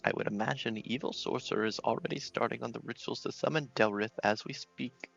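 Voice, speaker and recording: Guy doing an old mystic fortune teller from a game, dramatic n slow, husky, music behind. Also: medium pitch, fairly bright tone, dull audio. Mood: solemn.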